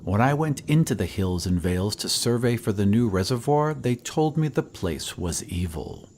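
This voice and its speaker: A man playing a somber surveyor who recounts an eerie old tale in measured, ominous audio drama narration with hushed phrase endings. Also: fairly low pitch, lively intonation, a little hiss or hum. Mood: uneasy, hushed.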